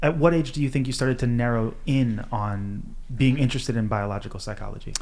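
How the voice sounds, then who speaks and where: A man's voice: mid-range voice, brisk, a little mellow. A man hosting a podcast, asking questions in a casual interview style. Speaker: podcast host.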